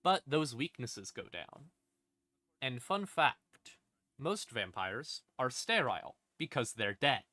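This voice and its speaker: A man streaming a casual lore explainer in an informal, sweary, conversational way, with emphatic, dramatic stresses and drawn-out words. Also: mid-range voice, big pitch swings, bright, forceful tone, no room sound. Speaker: streamer, host. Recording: music playing behind the voice. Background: creepy ambient music.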